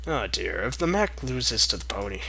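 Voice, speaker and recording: Animated man reading fanfic with mocking asides. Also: medium pitch, moderate pace, gravelly, a little hiss or hum. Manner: mocking asides, bursts of laughter. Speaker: reader.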